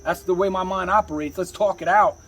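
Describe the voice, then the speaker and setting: A man with a husky voice telling stories casually as a rapper being interviewed, rambling and slangy, often saying "you know.". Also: high-pitched, fairly even intonation, a little fast, fairly bright tone, a little hiss or hum.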